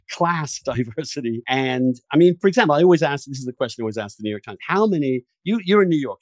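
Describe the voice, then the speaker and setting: A male podcast host speaks in a mid-pitched voice with very little room sound around it. He is opinionated and emphatic in conversation, and is not shy about swearing. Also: no room sound.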